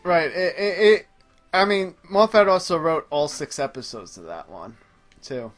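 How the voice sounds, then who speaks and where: A man with a slightly high voice hosts a casual gaming show. He chats and comments on his play with dry sarcasm, over game music. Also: lively intonation, a little slow. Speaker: host playing a video game on a gaming show. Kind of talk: casual chat and gameplay commentary.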